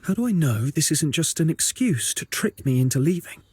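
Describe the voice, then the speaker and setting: A young video game hero, watchful and protective. His voice is notably breathy and husky, sitting a little high for a man. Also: very animated, moderate pace, a little mellow, dry recording. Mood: alert, concerned.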